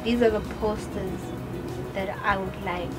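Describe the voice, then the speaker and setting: A woman's voice: mid-range voice, steady tone, unhurried, over music, low-quality recording. A teenage girl chatting casually on a couch with her father, rambling with lots of fillers and giggles. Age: teens. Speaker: daughter on a couch chat. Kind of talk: casual chat. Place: living room, on a couch.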